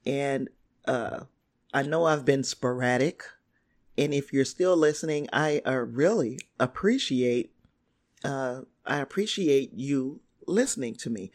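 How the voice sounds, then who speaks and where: A man hosting his own podcast solo, hoarse and raspy as he loses his voice, rambling with frequent throat clearing. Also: on the high side. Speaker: podcast host.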